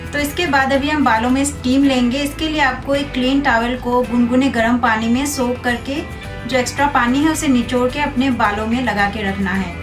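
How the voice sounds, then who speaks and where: A young woman with a slightly high voice talks through a hair care routine in a calm, patient, instructive way. She sits some way back from the camera's mic, so her voice sounds distant. Clear music plays behind her the whole time. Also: moderate pace. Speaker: beauty vlogger. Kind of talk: step-by-step tutorial. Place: small room indoors.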